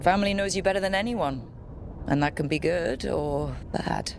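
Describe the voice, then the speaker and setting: Game lead: level-headed heroine, notably low pitch, dry and direct, audible ambient noise behind. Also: female voice, moderate pace, vocal fry. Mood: thoughtful. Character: level-headed, frank heroine of a fantasy adventure. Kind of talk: video game dialogue.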